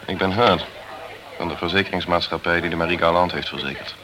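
A man's voice: low-pitched, steady tone, fast talker, a crowd in the background, lightly acted. A man playing a dogged, probing insurance investigator in a crime radio drama, questioning insistently and coolly persuading. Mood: businesslike. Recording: noisy radio drama recording.

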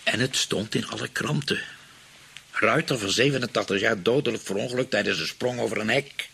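Casting: a hesitant, money-minded husband in a radio play, Netherlands Dutch accent, creaky and bright-edged. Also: male voice, on the low side, fairly quick, a little hiss or hum. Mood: worried, rueful. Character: spendthrift husband fretting over an aunt's inheritance.

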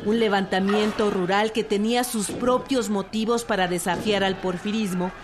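A woman's voice: mid-range voice, steady tone, moderate pace, noisy background. A woman reading scripted historical documentary narration as a narrator. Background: music.

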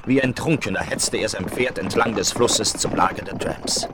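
A man's voice: fairly low pitch, normal speed, heavy background noise. A man narrating a western audio drama in a dramatic, scripted storytelling style. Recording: vinyl rip, faint music behind.

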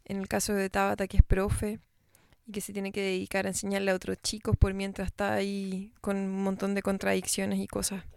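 A woman radio host introducing a guest and asking questions in a halting way, often restarting her sentences. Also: medium pitch, completely flat delivery, creaky, darkish tone, clear, resonant tone, no room sound. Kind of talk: radio interview.

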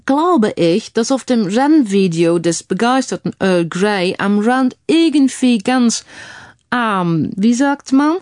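Woman playing a suave, wry British gentleman, dry close sound. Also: on the high side, expressive, slow, dry recording, pitched above the performer's own voice. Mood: wry, explaining. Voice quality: sprinkles English phrases into speech.

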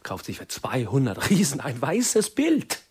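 A man's voice: mid-range voice, wildly swooping pitch, unhurried, slight background noise. An actor playing an exasperated, opinionated man in a German radio play, speaking in an agitated, emphatic way. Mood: indignant, anxious. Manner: agitated, emphatic, repeating himself indignantly. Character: exasperated, opinionated man fretting over a friend.

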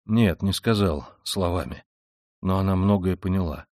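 A man reading an audiobook voices a tormented, proud and feverish young man who speaks quietly and wearily and flares into shouting rage. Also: low-pitched, normal speed, no echo, studio quality, close to an everyday voice. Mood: weary, bitter. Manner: quiet and weary, then bursts into shouting rage. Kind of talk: audiobook reading of a classic novel.

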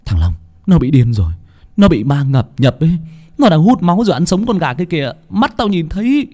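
A man's voice: medium pitch, big pitch swings, moderate pace, warm tone, breathy edge. A man voicing a frightened village boy in a horror story, stammering and urgent. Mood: frantic. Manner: stammering, urgent, breathless outbursts.

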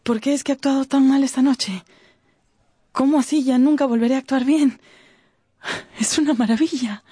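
A dreamy young actress in love, in a radio play. Her voice is very high yet soft-toned and breathy. The recording is dry and close. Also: moderate pace, dry recording. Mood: giddy, rapturous. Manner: breathless, rapturous, theatrical. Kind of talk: radio drama acting.

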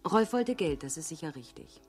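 A woman's voice: low voice, lively intonation, moderate pace, a little mellow, slightly rough, faint music behind. An actress in a radio crime drama playing a cool, outspoken younger police detective, composed and pointed in how she speaks. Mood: pointed, analytical.

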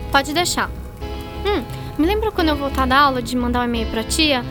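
An eager, chatty teenage girl. Her voice is very high, and a loud music bed plays behind her. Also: a little fast. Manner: excited and chatty. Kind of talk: radio drama acting.

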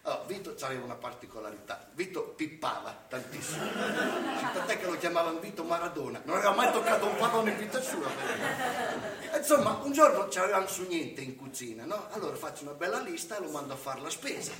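An actor playing a sardonic, boastful restaurant chef in a comic theatre monologue, talking colloquially and digressing, full of rhetorical check-ins. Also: male voice, fairly high pitch, animated, quick pace, over a noisy crowd, lightly acted. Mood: mocking, animated. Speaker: stage actor in a satirical monologue. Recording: distant roomy live recording, faint noise.

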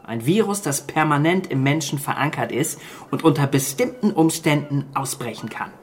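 A man's voice: fairly high pitch, expressive, moderate pace, a little hiss or hum, close to an everyday voice. A man playing a pragmatic survivor in a radio drama, with a dry, matter-of-fact delivery. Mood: sober. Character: pragmatic, well-informed island survivor. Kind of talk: naturalistic German radio drama acting.

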